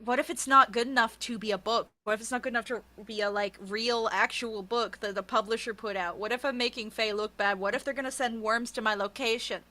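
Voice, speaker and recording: A woman author being interviewed, chatting casually in an animated, self-deprecating, sweary way. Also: on the high side, brisk, few pauses.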